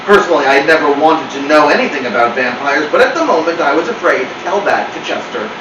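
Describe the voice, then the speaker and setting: A man's voice: on the high side, expressive, fast. A man narrating a children's storybook as the host of a reading session. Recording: roomy, distant mic, clear noise.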